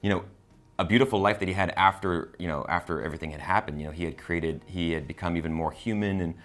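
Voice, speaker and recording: A man hosts a film review in a loose, conversational style. His voice sits low and he moves along at a brisk pace. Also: fairly bright tone. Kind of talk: casual chat.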